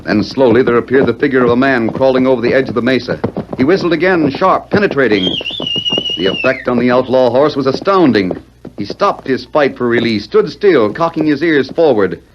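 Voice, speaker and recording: A man's voice: medium pitch, fairly quick. A man narrating a 1940s radio western in a formal, dramatic storytelling style. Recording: noisy, muffled 1940s radio recording.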